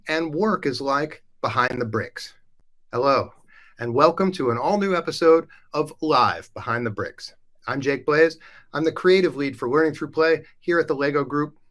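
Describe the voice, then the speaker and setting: A man with a medium-pitched voice presents a live webcast as host. He sounds upbeat and enthusiastic as he addresses his online audience. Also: a bit bright.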